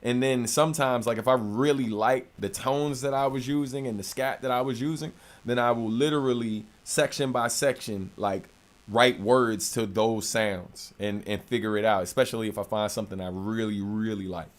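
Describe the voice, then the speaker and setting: Deep-voiced man chatting casually. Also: moderate pace. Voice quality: deep low voice. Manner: relaxed, conversational, many fillers. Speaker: rapper and producer hosting a livestream. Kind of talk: casual livestream Q&A. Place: home studio.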